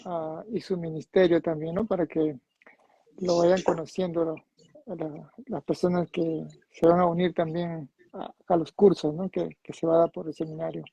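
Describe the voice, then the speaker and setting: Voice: medium pitch, little pitch movement, moderate pace, gravelly, warm tone, slightly rough, no echo. A host conducting a casual interview, recorded with dull, lo-fi sound. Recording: dull, lo-fi audio.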